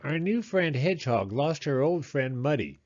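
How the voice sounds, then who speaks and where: A man's voice: fairly high pitch, very animated, normal speed, darkish tone, lo-fi sound, pitched above the performer's own voice. A man voicing a friendly, cheerful mole in a storybook reading.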